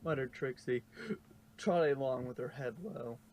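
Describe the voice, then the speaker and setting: Halting male story narrator, noisy recording. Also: medium pitch, a little mellow. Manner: halting, stumbles and corrects himself. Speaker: narrator of a fan fiction reading. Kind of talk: amateur story reading. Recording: noisy amateur home recording.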